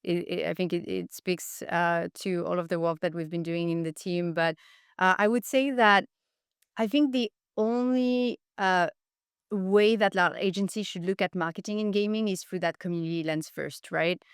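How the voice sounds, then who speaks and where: Low-pitched, clear-voiced woman in an interview. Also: steady tone, normal speed, dry recording, clean studio sound. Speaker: podcast guest, marketing executive. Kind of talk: conversational interview answers.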